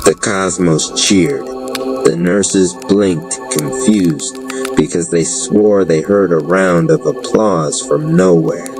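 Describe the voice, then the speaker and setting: A man's voice: deep voice, takes it slowly. A man reads a scripted spoken-word story in a wry, dramatic storytelling manner. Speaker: storybook narrator. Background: background music.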